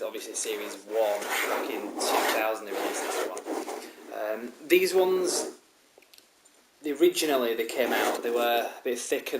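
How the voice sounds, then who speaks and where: A man with a head cold, rambling casually through a DVD collection review with many ums and restarts. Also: mid-range voice. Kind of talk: casual show-and-tell review. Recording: roomy echo, loud background hiss.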